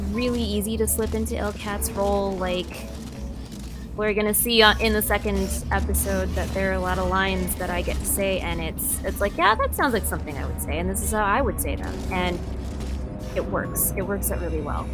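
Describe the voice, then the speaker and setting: A woman's voice: mid-range voice, normal speed. A woman being interviewed as a voice actor, chatting casually while playing a game. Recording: game music and sounds behind. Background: video game audio and music.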